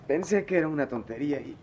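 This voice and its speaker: An actor playing a troubled, proud, remorseful working-class former pupil in a radio soap, with a Mexican accent and halting, colloquial speech. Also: male voice, a little high, noisy background. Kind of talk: melodramatic radio soap acting.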